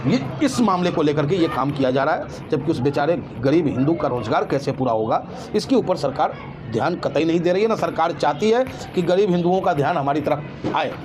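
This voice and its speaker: A man speaks out as an interview guest. He argues emphatically and indignantly, throwing out rhetorical questions, with loud ambient noise around him. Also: a little high, animated, a little fast. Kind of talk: impassioned street-interview opinion talk.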